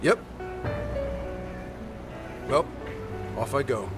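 A man's voice: mid-range voice, expressive, extremely slow, over music. An actor playing a businesslike male law officer in an anime video game, casual and matter-of-fact.

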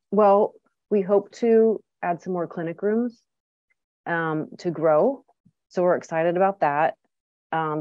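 A woman's voice: sits low, darkish tone, dry recording. A middle-aged woman, a clinic director, answering interview questions in a chatty way with lots of ums and restarts. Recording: dull, dry lo-fi sound.

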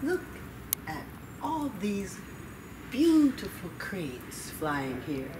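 An older woman telling stories to children at a library, deliberate and expressive, with dramatic pauses. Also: fairly low pitch. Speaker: library storyteller. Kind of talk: children's story time, expressive read-aloud. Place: library children's room, indoors. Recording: distant mic, noisy room ambience.

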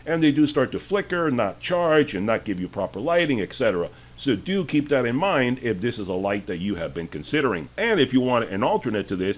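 A man talking casually and with strong opinions as he walks through store sale prices, with frequent fillers. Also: mid-range voice. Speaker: deal review host. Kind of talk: screen-share sale walkthrough.

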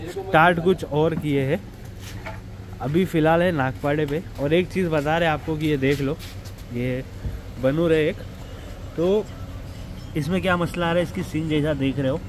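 A man talks easily to his viewers, explaining each step as he goes, his voice sitting a touch high. He records outdoors on the street, and traffic and open-air noise come through clearly behind him. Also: moderate pace, pauses often. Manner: chatty, explains step by step, addresses viewers directly. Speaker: goat farm vlogger. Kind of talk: casual vlog narration. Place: goat farm and street, outdoors. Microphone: clip-on mic after setup.

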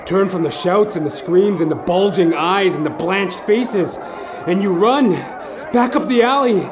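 A man narrating a horror story in a suspenseful, theatrical manner, with short deliberate phrases. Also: sits high, crowd noise. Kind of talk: dramatic second-person horror story narration. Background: music.

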